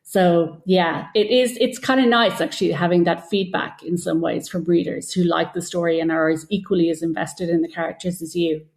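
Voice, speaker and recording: A woman's voice: lowish voice, fairly even intonation, moderate pace, few pauses, darkish tone. A woman giving thoughtful, opinionated interview answers in long, flowing, conversational explanations. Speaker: guest author interviewed about writing. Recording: echoey, roomy sound.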